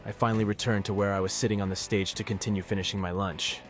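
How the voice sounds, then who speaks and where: Man playing a low-voiced, awkward teenage boy. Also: little pitch movement, quick pace, faint music behind, natural voice. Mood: wry narrating. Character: awkward, wry teenage boy narrating his school day. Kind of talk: visual novel narration and dialogue.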